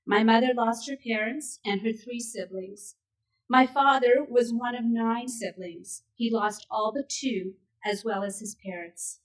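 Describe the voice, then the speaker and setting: A woman in her sixties, raised in Canada and a native Yiddish speaker, reads a prepared speech in a measured, steady way. Her voice sits a little high for a woman. The mic catches her from some distance. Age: 60s. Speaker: speaker at a memorial event. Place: synagogue event hall. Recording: voice picked up from a distance.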